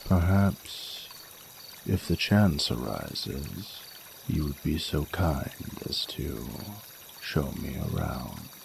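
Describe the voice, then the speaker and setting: Male actor as ancient dragon king, romantic audio roleplay, very gravelly, slow-paced, courtly, tender, flirtatious. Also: very deep voice, very animated, full character voice. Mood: courtly, affectionate. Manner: formal, intimate, archaic courtly phrasing. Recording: noticeable noise, running water sounds.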